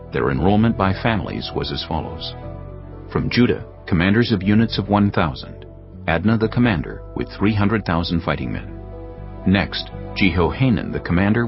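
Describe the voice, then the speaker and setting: Low creaky guy reading bible narration, formal, kinda muffled, music underneath. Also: very animated, normal speed. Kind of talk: scripted audio Bible narration. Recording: dull lo-fi audio over music.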